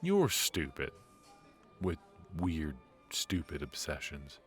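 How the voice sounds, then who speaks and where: A man acting a brooding, ruthless yet remorseful mafia defector in an intimate noir ASMR audio drama, speaking in a halting, confiding way. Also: a bass voice, huge pitch swings, soft background music, heavily acted. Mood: teasing, amused. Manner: halting, hesitant, confiding delivery.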